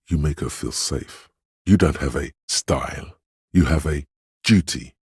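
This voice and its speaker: An actor playing the inner voice of authority in a video game, a proud, domineering man speaking with deliberate, imperious command. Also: very deep voice, slightly rough, dry recording, studio quality, heavily acted. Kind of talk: scripted video game voice acting.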